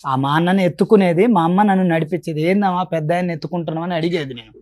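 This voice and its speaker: A man's voice: high-pitched, very fast, a little mellow. A man giving an unscripted personal account as a guest, deferential and repetitive as he explains. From Telugu-speaking south India. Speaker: guest telling his family grievance.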